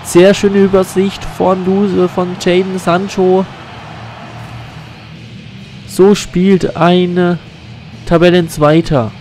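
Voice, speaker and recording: A man's voice: sits high, flat delivery, takes it slowly, long pauses, darkish tone, soft background music. A man with a cold and a blocked nose, commenting on a football video game he is playing, recorded on a USB condenser mic at home. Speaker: gaming YouTuber.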